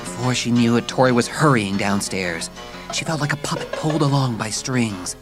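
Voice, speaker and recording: A man's voice: mid-range voice, moderate pace. A man narrating a children's story as scripted, dramatised audiobook narration. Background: music.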